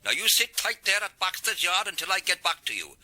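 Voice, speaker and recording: A man's voice: high-pitched, big pitch swings, a little fast, bright, forceful tone, exaggerated voice. A man playing an obliging, determined police officer in a vintage radio detective drama, courteous and official.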